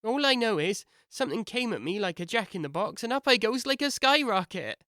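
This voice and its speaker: An actor playing Bill, a small male lizard with a feeble, squeaky voice, dazed and flustered after a fall. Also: big pitch swings, a little fast, pushed and bright, no room sound, studio quality, full character voice. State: shaken, dazed.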